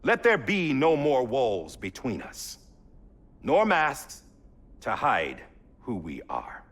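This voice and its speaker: An actor playing a solemn middle-aged male president delivering a measured, oratorical speech in a video game cutscene. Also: mid-range voice, wildly swooping pitch, fairly bright tone, a little hiss or hum, exaggerated voice.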